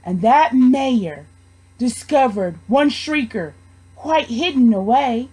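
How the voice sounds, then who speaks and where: High-voiced young woman reading a storybook. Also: animated, slow, a little mellow, slightly rough, low-quality recording. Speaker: storybook reader, education student. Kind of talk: expressive children's story read-aloud.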